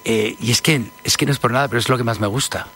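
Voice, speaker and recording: A man's voice: mid-range voice, fast, fairly bright tone. A man in his fifties from Spain, a singer giving an interview, talking casually and hesitantly, often repeating words. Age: 50s.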